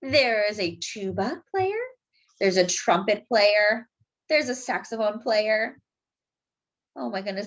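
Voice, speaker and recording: A woman's voice: on the high side, a bit bright, lo-fi sound. A woman hosting a children's storytime, reading a picture book expressively and dramatically for young listeners. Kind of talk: animated picture-book read-aloud.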